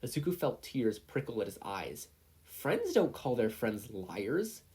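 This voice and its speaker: An animated storyteller reads fan fiction aloud in audiobook style. The voice is slightly husky and swings widely in pitch, moving between scripted narration and casual, chatty asides. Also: a little slow. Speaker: podfic reader, narrator.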